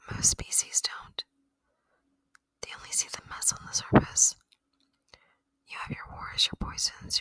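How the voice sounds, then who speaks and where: A woman voicing a gentle, lonely, curious alien in a soft, wistful, intimate ASMR roleplay whisper. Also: dry recording, poor audio. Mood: hushed wonder.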